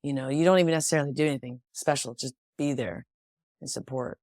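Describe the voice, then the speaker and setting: A woman's voice: very deep voice, quick pace, gravelly, darkish tone, no room sound, studio quality. A young American woman from Washington State, a pro boxer, talking reflectively as an interview guest with frequent restarts. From Washington State, USA. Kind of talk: casual interview conversation.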